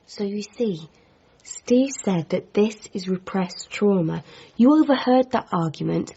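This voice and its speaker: A woman's voice: medium pitch, expressive, unhurried, creaky, mellow, breathy edge, lo-fi sound, full character voice. A woman acting a concerned, practical figure who reasons insistently with a troubled man in a radio drama. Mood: gentle, concerned.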